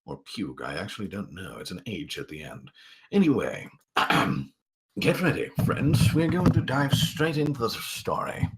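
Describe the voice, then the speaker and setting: A man reading a story aloud as a voice actor, with a theatrical, expressive storytelling delivery. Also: fairly low pitch, a little slow, husky, poor audio. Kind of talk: dramatic storytelling narration.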